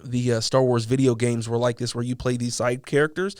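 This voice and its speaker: A man's voice: mid-range voice, steady tone, fairly quick, smooth, dry recording, studio quality. A man co-hosting a podcast, chatting casually in a thoughtful, rambling way with many fillers. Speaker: podcast co-host. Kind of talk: casual chat.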